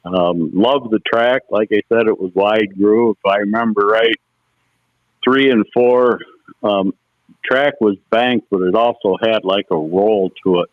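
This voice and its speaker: A man's voice: fairly low pitch, a little slow, no echo. A middle-aged man, a former racer, casually telling folksy stories as an interview guest over the telephone. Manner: anecdotal, folksy storytelling. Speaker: former race car driver, interview guest. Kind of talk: casual reminiscing on a phone interview. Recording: muffled, narrow telephone line.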